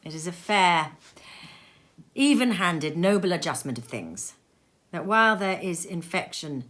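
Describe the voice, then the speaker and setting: A woman narrates a classic story aloud. Her voice sits a little low but is bright and forward, and she reads expressively with wide, lively movement in pitch.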